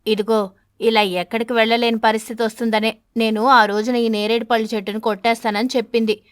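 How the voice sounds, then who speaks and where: A woman's voice: on the high side, little pitch movement, a little fast, dry recording, clean studio sound, close to an everyday voice. Woman as an exasperated, grumbling husband pigeon. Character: irritable argumentative husband pigeon.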